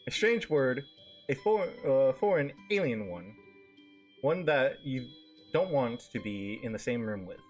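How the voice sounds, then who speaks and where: A man streams himself reading a visual novel aloud in a mid-pitched, very animated voice. He drops in casual asides, stumbles over harder words and swears freely, with soft music underneath. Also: a little slow.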